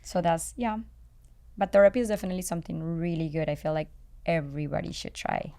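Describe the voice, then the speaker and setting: A woman with a low, clean and very smooth voice and a Colombian accent. She is a native Spanish speaker. She talks in a candid, casual chat style, repeating phrases to press her point. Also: fast, warm tone.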